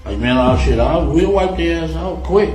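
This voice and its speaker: A man's voice: a little high, animated, moderate pace, over music. A man being interviewed, giving short, casual, slangy answers with some swearing. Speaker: interviewee recounting events. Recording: roomy echo.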